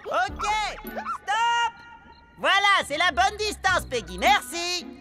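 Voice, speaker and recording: A woman voicing an excitable, well-meaning young cartoon friend, emphatic and expressive, in an animated dub. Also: very high voice, slow pace, over loud music, full character voice. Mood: cautious, relieved.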